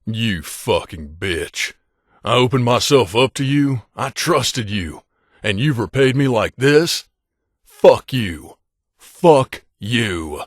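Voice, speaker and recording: A man voicing an earnest, wounded wasteland companion in a video game, speaking sincerely and emotionally with hesitant pauses. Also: medium pitch, very animated, dry recording, studio quality. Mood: bitter anger.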